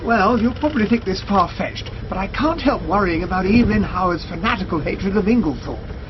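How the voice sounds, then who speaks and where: An actor playing a well-bred, earnest English army captain in a radio drama, with a husky voice and an English accent. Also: male voice, high voice, lively intonation, brisk, background noise. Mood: puzzled, earnest. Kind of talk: radio drama acting and narration. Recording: muffled, tinny radio drama recording.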